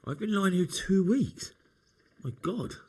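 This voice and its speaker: A man's voice: high voice, expressive, a little slow, husky, full character voice. An actor playing a weakened but dogged young man in a medieval video game, speaking wearily and earnestly with wry humour. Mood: shaken, earnest. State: weary, recovering from injury. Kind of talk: video game cutscene acting, dramatic dialogue.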